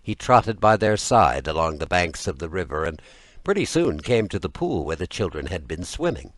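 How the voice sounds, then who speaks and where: Deep-voiced man narrating a fairy tale. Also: no echo. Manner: expressive, deliberate storytelling. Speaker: audiobook narrator.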